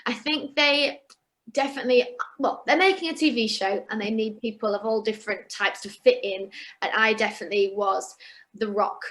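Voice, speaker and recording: Chatty woman with a fairly high voice. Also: steady tone, normal speed, a bit bright. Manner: chatty, with frequent restarts and fillers. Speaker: guest singer and actress. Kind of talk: casual interview chat.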